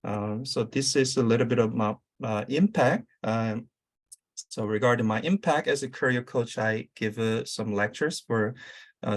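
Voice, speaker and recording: A man with a Korean accent speaking English as a second language, a career coach giving an online summit talk, halting and full of fillers. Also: medium pitch. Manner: halting, many uh fillers and restarts. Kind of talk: conference talk over video.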